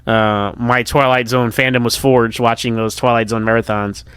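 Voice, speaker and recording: A man's voice: mid-range voice, level tone, normal speed, a bit bright, clear, resonant tone, no room sound. An American man giving casual, rambling review commentary in a hesitant, conversational way, often interrupting himself. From United States. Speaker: podcast listener giving episode feedback.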